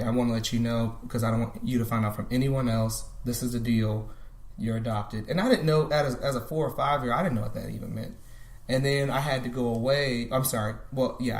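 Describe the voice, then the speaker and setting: A man in his thirties, a podcast guest, talking thoughtfully in a casual conversation and often restarting his sentences. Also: medium pitch. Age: 30s. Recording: roomy echo.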